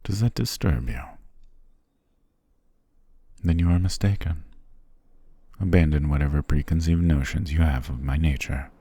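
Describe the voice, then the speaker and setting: A man playing an ancient, imperious and possessive vampire patriarch in a fantasy ASMR roleplay, speaking in a formal, commanding, measured way. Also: mellow, no room sound, heavily acted. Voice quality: very deep, gravelly, breathy.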